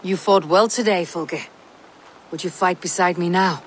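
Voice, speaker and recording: A woman's voice: lowish voice, unhurried, a little hiss or hum, lightly acted. An actress playing a proud, stubborn Viking warrior woman in a video game cutscene, speaking firmly and bluntly. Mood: steady, earnest.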